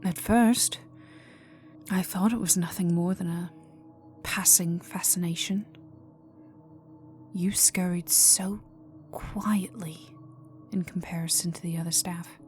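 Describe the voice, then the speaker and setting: A woman performs a cold vampire noblewoman for a dark ASMR roleplay. Her voice is on the low side and very unhurried, often sinking into a whisper. She is condescending, teasing and quietly menacing, over moody ambient music. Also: fairly even intonation. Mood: coolly amused. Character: cold, haughty aristocratic female vampire, menacing and amused. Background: dark atmospheric music with resonant tones.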